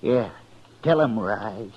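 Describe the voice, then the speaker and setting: Slow, halting drunken frontier ruffian. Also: male voice, fairly low pitch, lively intonation, breathy edge, full character voice. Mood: maudlin, drunk. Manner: halting, rambling drunk speech. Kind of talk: 1950s western radio drama acting. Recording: muffled old radio recording.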